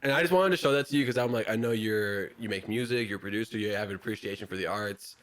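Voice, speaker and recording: A man's voice: on the low side, fast, a bit bright. A man hosting a casual podcast chat, loose and profane, often breaking into laughter. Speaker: podcast host.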